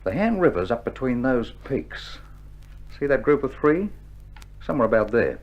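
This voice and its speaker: An actor playing a genial, unflappable army officer in a hearty 1960s radio drama style. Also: male voice, medium pitch, very animated, moderate pace, close to an everyday voice. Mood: jovial, hearty. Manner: hearty, jovial, chuckling understatement. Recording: muffled old vinyl radio drama recording.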